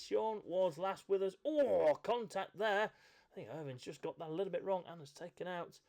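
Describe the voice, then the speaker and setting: Guy calling a bike race live, bit croaky, voice jumps up and down a lot, chatty and jokes at himself. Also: high voice, a little mellow. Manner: chatty, self-mocking asides. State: croaky from a chest infection. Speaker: race commentator and event host. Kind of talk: live esports race commentary.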